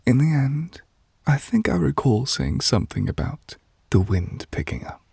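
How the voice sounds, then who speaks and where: A man playing a gentle, refined, possessive witch in love, speaking breathy and hushed in an intimate ASMR romance roleplay. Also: medium pitch, sing-song, moderate pace, dry recording, studio quality, heavily acted. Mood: tender, nostalgic. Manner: gentle, refined, wistful, formal phrasing. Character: soft-spoken, tender, possessive male witch in love.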